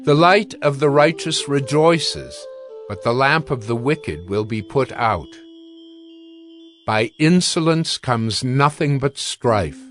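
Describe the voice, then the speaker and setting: A man narrating an audio Bible with deliberate, solemn phrasing in a scripted style. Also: medium pitch, lively intonation, soft background music.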